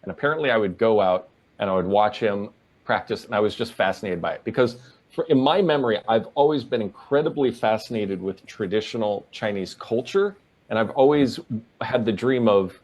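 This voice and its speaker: A man from Oregon answering interview questions as a guest, in an earnest, measured way. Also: fairly low pitch, a little mellow. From Portland, Oregon, USA.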